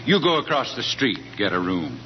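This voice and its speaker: Low-voiced preachy old-time hotel detective. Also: male voice, very animated, moderate pace. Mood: preachy, fatherly. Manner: sententious, preachy. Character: moralizing, fatherly veteran male hotel detective. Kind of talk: 1940s radio comedy acting. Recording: muffled, faintly noisy 1940s radio recording.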